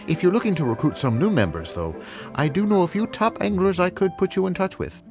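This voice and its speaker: An actor playing a genial, chatty older fishmonger in a video game, musing and expressive in an unhurried way. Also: male voice, sits high, music in the background. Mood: frank, helpful.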